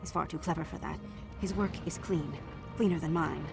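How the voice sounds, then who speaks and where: An actress playing a wry, guarded undercover agent in a video game, with a dry, clipped delivery. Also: female voice, low-pitched, steady tone, brisk, vocal fry. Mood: awkward, worried. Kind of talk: video game character acting. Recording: prominent music behind the voice.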